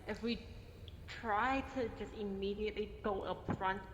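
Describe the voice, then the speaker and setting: A woman's voice: mid-range voice, steady tone, unhurried. A woman playing an online roleplay game, talking casually in character and often repeating short phrases. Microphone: home microphone in online voice chat. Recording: roomy echo, noisy background.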